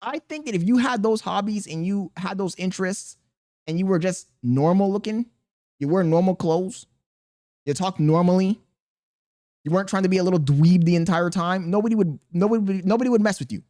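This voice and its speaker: A man's voice: high voice, fairly quick, mellow. A man with a thin voice hosting a reaction video, chatting casually to his viewers in a blunt, mocking, profane way. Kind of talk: casual reaction commentary to chat.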